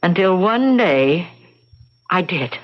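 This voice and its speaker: A woman playing a grieving, haunted middle-aged housekeeper, telling her tale haltingly and solemnly in an old-time radio drama style. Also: fairly low pitch, animated. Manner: halting, sorrowful storytelling. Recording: dull lo-fi radio recording.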